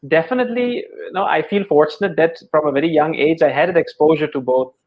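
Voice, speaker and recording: A middle-aged man from the Netherlands, Dutch by first language, whose English has picked up a clear Indian accent. His voice sits a little high for a man, and he talks in a measured, gentle way, pausing on fillers and repeating words as he explains. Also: dull audio. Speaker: yoga teacher, guest. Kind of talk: thoughtful conversational explanation.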